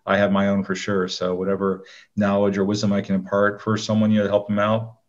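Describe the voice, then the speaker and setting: A man's voice: fairly low pitch, monotone, fast talker. A man giving casual, modest and earnest answers as an interview guest. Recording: dull lo-fi line.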